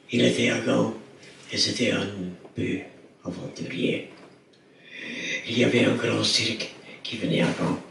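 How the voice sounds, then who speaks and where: An older man from Belgium with a raspy, half-whispered voice after throat surgery, telling stories on stage slowly and haltingly as he searches for words. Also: fairly low pitch, a bit bright. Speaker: circus director, interviewee. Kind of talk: storytelling on stage. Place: theatre stage before an audience. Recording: echoey hall, distant mic, faint noise.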